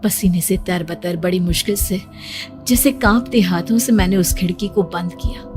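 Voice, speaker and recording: A woman narrating a horror story in the first person with a suspenseful, theatrical delivery. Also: medium pitch.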